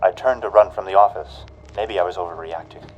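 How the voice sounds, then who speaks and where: An actor playing a 1940s radio announcer and narrator, booming through the sponsor spots, then telling his own night of terror in a hushed, tense voice. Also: brisk, dull audio, a little hiss or hum, natural voice. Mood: hushed dread. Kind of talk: 1940s radio drama acting.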